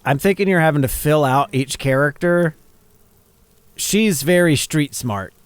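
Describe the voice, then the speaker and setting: A man's voice: a little high, measured pace, smooth, no echo. A man co-hosting a gaming video with casual, informal banter. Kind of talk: casual banter over a game.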